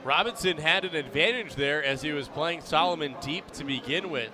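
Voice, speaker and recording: An American man giving measured, explanatory color analysis during a live baseball broadcast. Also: a little high, animated. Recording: busy ballpark crowd behind the voice. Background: clear crowd noise.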